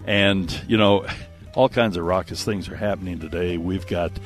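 A man's voice: low-pitched, steady tone, moderate pace, soft background music. A man hosting a live radio talk show, chatting and promoting in an effusive, easily amazed way on a studio mic. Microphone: close studio radio microphone.

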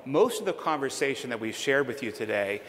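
A man's voice: medium pitch, animated, fairly quick, fairly bright tone. Emphatic man presenting in a hall. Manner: emphatic, persuasive delivery. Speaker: conference presenter. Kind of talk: presentation and audience Q&A. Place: conference hall. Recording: reverberant hall, faint background noise.

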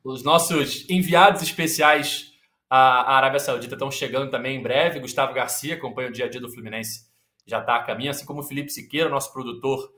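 A man's voice: medium pitch, fast, echoey room. A man hosting a sports podcast, chatting casually with frequent fillers and restarts. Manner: lively, many fillers and restarts. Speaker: podcast host.

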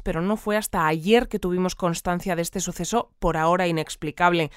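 Female radio news anchor played by an actress for audio fiction: measured, articulate, composed, mid-range voice. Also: medium pitch, clean tone, dry recording, studio quality, natural voice. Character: composed woman news presenter of a sci-fi community bulletin. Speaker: news presenter. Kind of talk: scripted radio news bulletin, interviewing.

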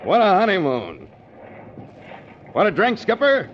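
A brash, fun-loving American first mate in a vintage radio drama. The voice is slightly high and swings about in lively fashion, eager and slangy. The recording is old and muffled. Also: male voice, a little slow, a little hiss or hum, full character voice. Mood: jovial, eager. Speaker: supporting actor in a radio adventure serial. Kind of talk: 1940s radio adventure acting.

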